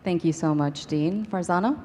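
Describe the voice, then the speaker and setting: A woman's voice: on the low side, normal speed, darkish tone, slight background noise. A woman moderator hosting a panel discussion on stage in a large hall. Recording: reverberant hall with background ambience.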